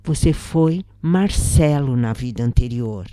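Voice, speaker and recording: A kindly, assured older mother consoles in a spiritual story, read by a woman. The voice is low and mellow, unhurried, with a dry close sound. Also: animated, no room sound, heavily acted. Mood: calm, explaining. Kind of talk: dramatised first-person story reading.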